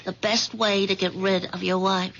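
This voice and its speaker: A woman's voice: mid-range voice, level tone, normal speed, fairly bright tone, close to an everyday voice. An American woman acting a scheming, seductive femme fatale in a 1970s radio drama, coaxing and commanding. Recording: dull lo-fi 1970s radio recording.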